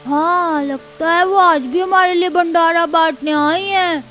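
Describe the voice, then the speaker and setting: A woman's voice: very high voice, steady tone, measured pace, pushed and bright, heavily acted. A woman voicing a small, poor child in a cartoon story, with a plaintive, childlike way of talking. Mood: hopeful. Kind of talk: Hindi cartoon story acting.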